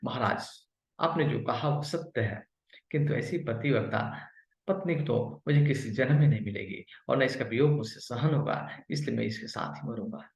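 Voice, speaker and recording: A man's voice: mid-range voice, normal speed, creaky, lightly acted. A man voicing a grief-stricken prince in a folk tale, sorrowful and pleading over his dead wife. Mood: resigned. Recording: muffled, echoey home recording.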